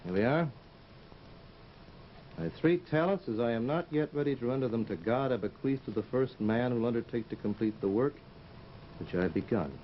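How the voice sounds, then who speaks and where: An actor playing a brooding, intense sculptor in love, in old-time radio drama style, on a muffled vintage recording. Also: male voice, medium pitch, animated, fairly quick, pauses often, a little hiss or hum, close to an everyday voice. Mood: solemn reading.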